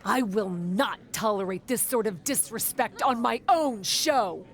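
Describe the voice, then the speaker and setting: A woman's voice: fairly high pitch, animated, a little slow, very noisy. An actress playing a brash, vain young woman who manages wrestling shows, with a smug, sardonic, theatrical delivery in a video game cutscene. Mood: haughty, fed up.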